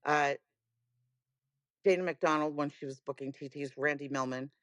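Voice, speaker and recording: A woman's voice: low voice, fairly even intonation, normal speed, fairly bright tone, dry recording, studio quality. A woman, telling her story as a podcast guest in a candid, emphatic way. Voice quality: raspy voice. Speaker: guest, music booker. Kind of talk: podcast conversation.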